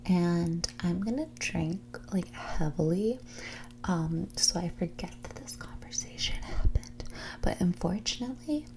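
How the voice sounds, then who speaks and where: A woman playing a mean, snobby rich young woman in a whispered and soft-spoken ASMR roleplay, talking in a breathy, condescending, bratty way. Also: on the low side, expressive. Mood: dismissive. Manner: condescending, bratty, dismissive, drawn-out casual phrasing. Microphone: close ASMR microphone.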